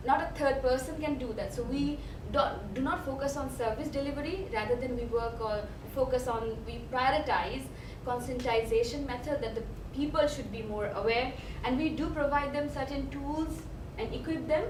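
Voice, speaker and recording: A woman's voice: high voice, normal speed, few pauses. A young woman from Bangladesh, an activist speaking on a panel, hesitant with many fillers and restarts. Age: young adult. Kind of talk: panel talk. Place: event hall. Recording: echoey room, from far off, noisy.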